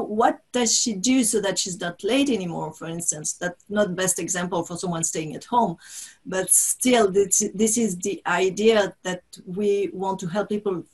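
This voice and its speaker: A woman whose first language is French, speaking English as an author guest in an interview over a video call, halting and restarting often. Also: mid-range voice, breathy edge. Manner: halting, restarts often, laughs between thoughts. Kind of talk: conversational interview.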